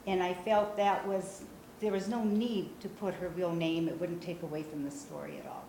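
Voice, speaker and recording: A woman's voice: fairly low pitch, fairly even intonation, creaky, warm tone. Older Brazilian-born woman talking in echoey room. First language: Portuguese. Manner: conversational, frequent "uh" pauses, gestures while speaking. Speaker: memoir author giving a talk. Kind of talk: informal talk to a small audience. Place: small meeting room, indoors. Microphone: far camera. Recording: roomy echo, faint room noise.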